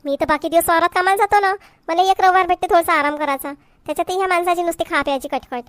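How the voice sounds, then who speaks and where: A woman's voice: very high voice, steady tone, racing, no echo. A woman playing an exasperated, hard-working village wife in a comedy cartoon, complaining sharply in a Varhadi Marathi accent. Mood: fed up. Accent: Varhadi Marathi from Vidarbha. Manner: scolding, complaining, sharp-tongued.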